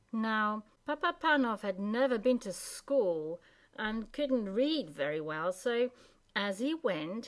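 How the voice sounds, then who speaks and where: A woman narrating a children's story as scripted reading, with deliberate pauses between phrases. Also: mid-range voice.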